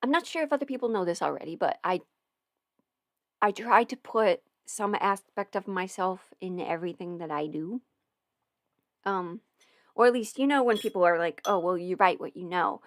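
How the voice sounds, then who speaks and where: A woman's voice: fairly low pitch, normal speed, dry recording, studio quality. A woman casually rambling as a livestream host, hesitant, interrupting herself and thinking aloud as she goes. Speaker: livestream host, audio roleplay creator.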